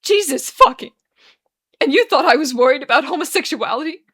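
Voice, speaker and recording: A woman's voice: on the high side, huge pitch swings, brisk, vocal fry, bright, forceful tone, slightly rough, full character voice. A woman voicing an anguished, furious young man in a dramatic story, with explosive, wailing outbursts and cursing. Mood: weeping.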